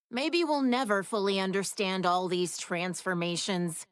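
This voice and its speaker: A woman's voice: mid-range voice, clear, resonant tone, natural voice. A woman voicing a sardonic, clever, outspoken woman in an English anime game, wry and casual in manner.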